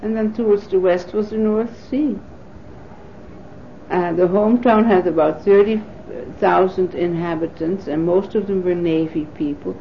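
A woman in her fifties from the Netherlands, a native Dutch speaker, recalling her life in an oral history interview with thoughtful pauses and fillers. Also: fairly low pitch, creaky, slight background noise. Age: 50s. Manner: thoughtful, pausing with frequent fillers. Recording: dull lo-fi 1980s tape recording.

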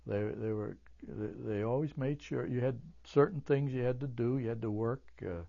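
An older man whose first language is German, recalling his childhood in an oral history interview, halting with many fillers and restarts. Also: mid-range voice, creaky, mellow, muffled recording.

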